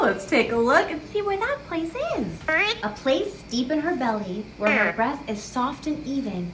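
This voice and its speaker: A woman reading a picture book aloud to children as a story-time host, with expressive sing-song delivery and chatty asides to the listeners and a puppet. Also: sits high. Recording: echoey room with clear background noise.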